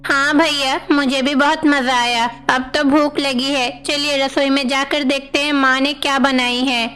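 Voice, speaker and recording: Woman voicing high-pitched eager little boy. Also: level tone, a little slow, sharp tone, faint music behind. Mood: eager, hungry. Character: young divine brothers, eager hungry little boys. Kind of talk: devotional storybook dialogue.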